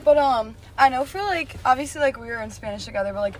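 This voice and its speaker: Higher-pitched teenage woman hosting casually. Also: lively intonation, fast, a little hiss or hum. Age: late teens. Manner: slangy, informal. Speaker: podcast co-host, student editor. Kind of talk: casual podcast chat.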